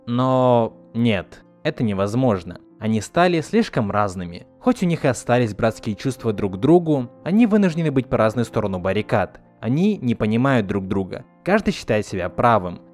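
A man delivers a scripted video essay with a lively, swinging intonation. His pitch sits in the middle of the male range. He sounds earnest and opinionated, with music playing faintly behind him. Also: normal speed, few pauses. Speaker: video essayist reviewing an animated film. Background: background music.

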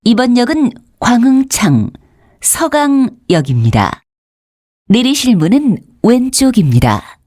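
A woman's voice: on the high side, sing-song, takes it slowly, dark tone, no room sound, studio quality. A woman reading scripted station announcements as a subway announcer.

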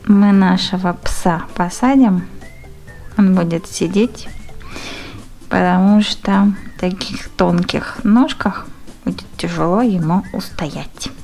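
A woman's voice: on the low side, slow pace, creaky, a little mellow, faint music behind. A woman giving step-by-step craft instructions in short phrases, full of fond diminutives. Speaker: craft tutorial presenter.

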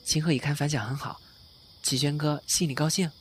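A man's voice: a little high, steady tone, a little fast, a bit bright, faint music behind, close to an everyday voice. A man playing a shy young junior singer in an audio drama, hesitant and deferential. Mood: admiring. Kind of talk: Chinese audio drama acting, soft dialogue.

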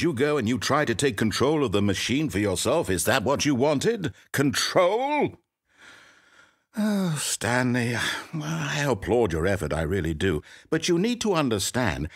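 An actor playing a smug, sadistic, all-knowing storyteller in a video game, speaking with theatrical, mock-courteous condescension. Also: male voice, mid-range voice, heavily acted. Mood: condescending. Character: smug, sadistic, omniscient male storyteller, politely mocking. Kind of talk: theatrical scripted game narration.

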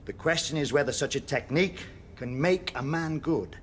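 An actor playing a zealous, pompous prison chaplain in a radio drama, with a declamatory way of preaching. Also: male voice, fairly high pitch, normal speed, a little hiss or hum, natural voice. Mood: grave, hesitant. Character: fire-and-brimstone prison chaplain, zealous, pompous, troubled by doubt.

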